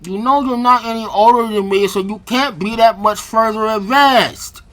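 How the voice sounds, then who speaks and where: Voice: mid-range voice, moderate pace, voice pushed up, lightly acted. Narrator acting a brash hot-headed young ninja boy. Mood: cross, indignant. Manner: shouting, cross, defiant. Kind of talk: expressive fan fiction character reading.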